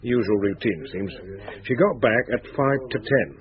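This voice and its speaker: A man's voice: mid-range voice, normal speed, natural voice. An actor playing a matter-of-fact policeman briefing his chief in clipped, factual phrases, in a radio drama. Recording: muffled, noisy radio drama recording.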